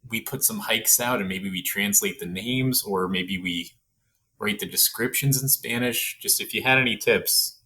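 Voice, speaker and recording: Man from Canada, podcast host, rambling and goofy, low and forceful tone. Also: moderate pace, slight background noise, poor audio. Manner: goofy, rambling, laughs often. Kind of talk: casual interview.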